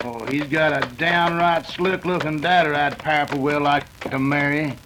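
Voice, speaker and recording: A man acts a rough gang boss in a 1930s-style radio western, hungry for money. He has an American western drawl and a slightly high voice. Crackly noise sits clearly behind him. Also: fairly even intonation, moderate pace, lightly acted. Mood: calculating.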